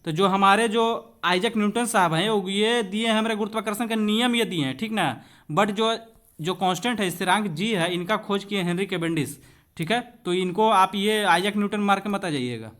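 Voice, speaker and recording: High-pitched male physics teacher. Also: moderate pace, a bit bright. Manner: conversational explaining with rhetorical questions to students; switches between Hindi and English terms. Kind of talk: exam-prep lesson working through questions.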